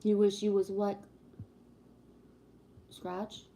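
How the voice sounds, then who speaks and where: A woman's voice: mid-range voice, little pitch movement, drawn-out words, dark tone, a little hiss or hum. A woman in her fifties hosting a livestream, chatting casually and greeting viewers while talking about spiritual topics. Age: 50s. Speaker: livestream host.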